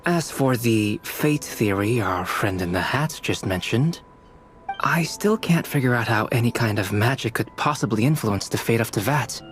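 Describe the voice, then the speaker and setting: A man plays a composed young alchemist from a video game, voice sitting at a middle pitch. He lays out his reasoning in a measured, analytical manner, unhurried and precise, with faint music beneath him. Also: expressive, close to an everyday voice. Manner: measured, analytical, explains theories. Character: calm, brilliant young male alchemist.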